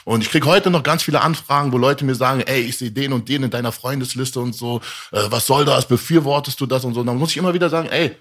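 A man's voice: medium pitch, quick pace, hardly any pauses, fairly bright tone. A young man chatting casually and candidly, with a streetwise way of talking. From half Italian, half Iranian, raised in Germany. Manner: streetwise, candid, often says "weißt du". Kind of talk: casual chat.

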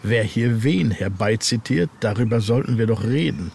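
Horror novel villain: haughty hooded monk male reader, medium pitch, clear background noise. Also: animated, normal speed, a little mellow. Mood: haughty, demanding. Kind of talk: read-aloud horror novel dialogue.

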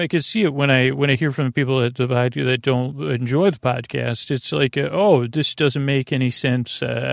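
A man hosting a bedtime podcast in a meandering, halting, self-deprecating monologue with whimsical asides. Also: mid-range voice, vocal fry, dry recording, clean studio sound. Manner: whimsical, acting out little imaginary exchanges. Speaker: podcast host.

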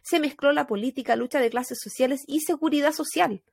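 A woman with a Chilean accent telling a true crime story in casual podcast chat, animated, with fillers and laughs. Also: high voice, fairly quick, gravelly, dry recording.

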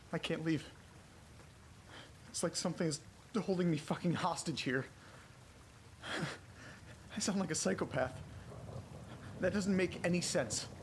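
Actor as frightened man, high slow halting voice. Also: lightly acted. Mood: distraught. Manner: halting, muttering, repeats himself, swears. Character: frightened, bewildered man trapped near his haunted house. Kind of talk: first-person horror audio drama monologue. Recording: clear background noise, vehicle rumble.

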